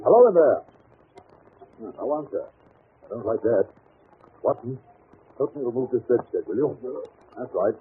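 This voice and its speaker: An actor playing a shrewd, commanding detective in an old-time radio drama, with a clipped theatrical delivery. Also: male voice, medium pitch, animated, normal speed, pauses often, slightly rough. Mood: urgent, alert. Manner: clipped, theatrical, giving orders. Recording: tinny, noisy old radio recording.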